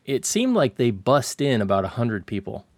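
A man reviewing a film in casual chat as a podcast co-host, halting and restarting often. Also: mid-range voice, big pitch swings, no room sound, studio quality.

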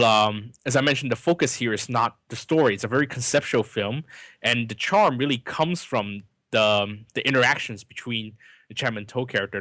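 Film podcast host, man, Cantonese native, bright tense voice, dry sound, halting delivery. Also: medium pitch, dry recording. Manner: hesitant, many ums and restarts. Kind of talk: casual film review chat.

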